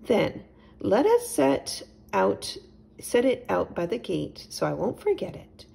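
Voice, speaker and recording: A woman voicing a kindly, patient male chipmunk who gently questions his friend in a children's storybook. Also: medium pitch, wildly swooping pitch, slow, vocal fry, husky, full character voice. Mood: gentle, friendly. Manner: reassuring, gentle, inquisitive.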